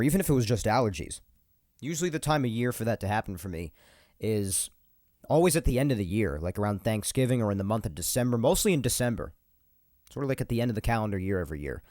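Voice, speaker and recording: A man's voice: medium pitch, darkish tone, dry recording, studio quality. Congested young man with a rambling podcast chatter. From New York. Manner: rambling, repeats himself, laughs between points. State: congested and a bit nasal from a cold. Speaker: podcast host. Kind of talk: casual solo podcast monologue. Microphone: podcast microphone.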